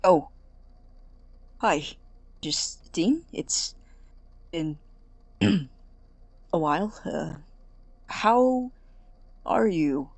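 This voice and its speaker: An awkward, guarded young male college student played by a woman in a naturalistic audio drama. The voice is low and very dry, close and without room sound. The delivery is hesitant and apologetic. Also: animated, extremely slow, pauses often, a little mellow, dry recording, heavily acted. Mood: nervous, apologetic. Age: young adult.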